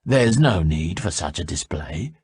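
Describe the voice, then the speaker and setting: A man voicing a smooth, menacing young male envoy who speaks low, level and slow. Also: expressive, a little mellow, dry recording, studio quality, full character voice. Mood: silkily menacing.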